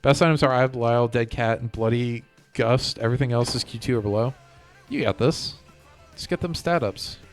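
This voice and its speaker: A man's voice: mid-range voice, a little fast. A man chatting casually as he livestreams a video game. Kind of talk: casual gaming chat. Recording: music playing behind the voice. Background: music.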